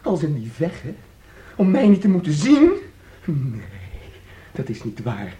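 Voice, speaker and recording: Excitable childlike son, wildly swooping voice. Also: male voice, a little high, a little slow, echoey room. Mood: disbelieving, pleading. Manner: pleading, emotional, swings from glee to anguish. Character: excitable, childlike, volatile son clinging to his mama. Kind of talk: radio drama acting.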